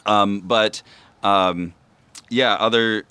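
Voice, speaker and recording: A man speaking as a podcast guest, telling anecdotes casually and haltingly as he thinks aloud. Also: on the low side, steady tone, sharp tone, clean tone, no room sound. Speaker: podcaster being interviewed as a guest.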